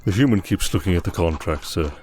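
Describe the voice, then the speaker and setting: A dutiful male aide to a vampire lord, voiced by a man, low in pitch. He is formal and deferential, in a fantasy audio roleplay over background music. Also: expressive, fast talker, darkish tone, slightly rough. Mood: dutiful, composed.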